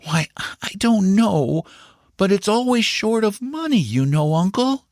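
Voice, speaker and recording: A man voicing an anxious, intense young boy in a storybook reading, speaking high and strained. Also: sing-song, measured pace, no room sound, studio quality, heavily acted.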